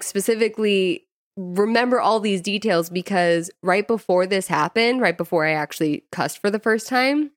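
Female co-host, conversational and informal, medium pitch, lots of "like", dry close sound. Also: no room sound. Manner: informal, peppers speech with "like". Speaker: podcast co-host. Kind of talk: casual chat, personal storytelling.

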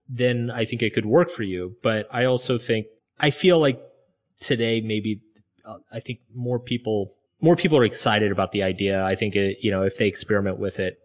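Man, low voice, guest author, candid reflective chat, filler-heavy. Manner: reflective, loose, many "like" fillers. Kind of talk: candid conversational interview.

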